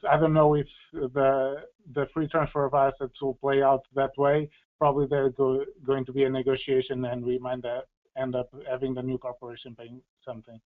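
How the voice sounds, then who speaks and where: A man answering questions as a policy expert in an explanatory panel discussion, speaking haltingly as he searches for words. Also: mid-range voice. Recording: tinny, phone-like band-limited audio.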